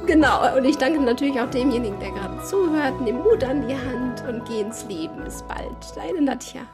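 A woman's voice: sits high, expressive, normal speed, loud music behind the voice. A woman hosting a casual podcast interview, laughing often and speaking encouragingly. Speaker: podcast host.

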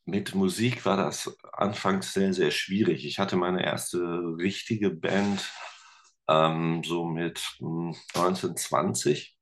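Very deep-voiced chatty Ruhr German man. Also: slow, slight background noise. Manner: chatty, relaxed storytelling with many fillers. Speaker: podcast guest, teacher and musician. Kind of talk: casual interview chat.

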